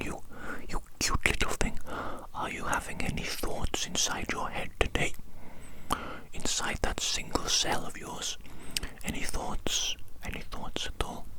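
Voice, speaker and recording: An ASMR performer whispering breathily in a roleplay as a doting, chaotic evil doctor, coaxing and softly repeating phrases. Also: rough recording.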